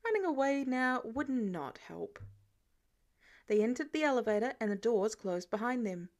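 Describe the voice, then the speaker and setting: A woman's voice: fairly high pitch, very animated, moderate pace, darkish tone. A woman reading scripted fiction narration as an audiobook narrator. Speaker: podfic narrator.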